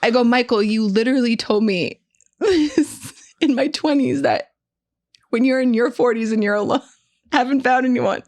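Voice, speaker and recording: A woman's voice: a little high, normal speed, darkish tone, dry recording. A woman in her thirties, a comedian guest, chatting casually and emphatically, acting out quoted dialogue as she tells stories. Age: late 30s. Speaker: stand-up comedian, podcast guest. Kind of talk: casual chat, storytelling.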